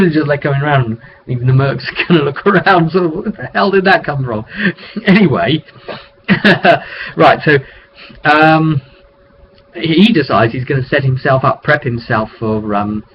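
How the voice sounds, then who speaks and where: A man's voice: fairly high pitch, unhurried. A man casually recounting a tabletop roleplay session as game master, off the cuff and conversational, in a rambling way. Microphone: webcam microphone. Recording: dull lo-fi webcam audio with noise.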